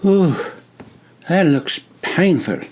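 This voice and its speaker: A man putting on a crisp, polite young recruit. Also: a little high, expressive, drawn-out words, darkish tone, pitched above the performer's own voice, exaggerated voice. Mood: genial. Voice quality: crisp, British-sounding speech. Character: friendly young male army recruit, polite and unbothered.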